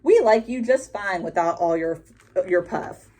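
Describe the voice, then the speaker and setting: A woman voicing a male ram in a storybook who calls out loudly, then talks kindly. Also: medium pitch, expressive, unhurried.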